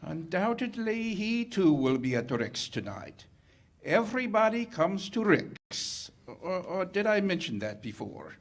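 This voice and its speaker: A man acting a suave French police captain in a 1940s radio drama. His high voice rises and falls freely. He is obliging, wry and insinuating. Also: big pitch swings, unhurried, exaggerated voice. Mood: wry, assured.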